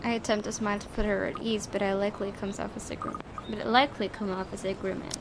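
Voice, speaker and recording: A woman's voice: medium pitch, level tone, fast. A woman hosting a gaming video, reading a visual novel aloud and commenting casually. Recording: clearly noisy home recording.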